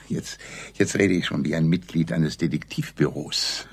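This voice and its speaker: An actor playing a droll, measured clergyman and amateur sleuth in a German radio crime drama, deadpan and deliberate. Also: extremely low pitch, slight background noise. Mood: pondering.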